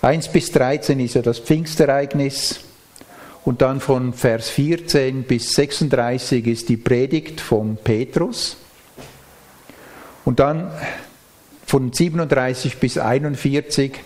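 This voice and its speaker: A man speaks as a preacher in a medium-pitched voice. He delivers a sermon, moving through scripture with a measured, explanatory manner. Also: a little mellow. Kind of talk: sermon with Bible reading and explanation.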